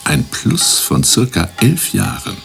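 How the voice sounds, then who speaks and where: A man playing an eccentric, pompous guru-like professor in a radio play, lecturing with drawn-out emphasis. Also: mid-range voice, wildly swooping pitch, gravelly, fairly bright tone, soft background music, exaggerated voice. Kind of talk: German radio play acting.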